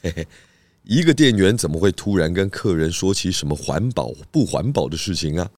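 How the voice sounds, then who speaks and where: A clever pig detective, acted by a man with a low voice and a Taiwanese Mandarin accent. He is calm and reasons patiently, in a dry, close recording for a children's radio mystery. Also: a little fast, dry recording. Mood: calm, knowing.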